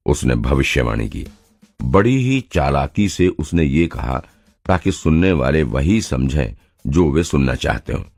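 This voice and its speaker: A man's voice: rumbling low, darkish tone, no echo. A man narrating an audio story in a dramatic, scripted style.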